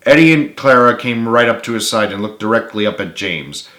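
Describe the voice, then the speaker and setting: A man's voice: lowish voice, animated, fairly quick, pushed and bright. A man reading his own adventure story aloud as a dramatic, earnest narrator. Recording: roomy, echoey home recording.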